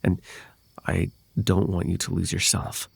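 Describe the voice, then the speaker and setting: Man playing a gravelly, lovelorn fae prince. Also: sits low, monotone, dry recording, heavily acted. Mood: wistful, devoted. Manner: halting, tender, formal old-fashioned wording. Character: possessive, lovelorn fae royal heir, male, pleading and anxious. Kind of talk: ASMR roleplay, intimate dramatic monologue.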